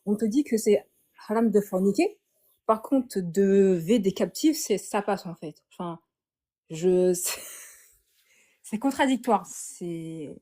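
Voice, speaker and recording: A woman's voice: mid-range voice, slow, pauses often, mellow. A woman, a guest in a casual chat, speaking indignantly and with some hesitation.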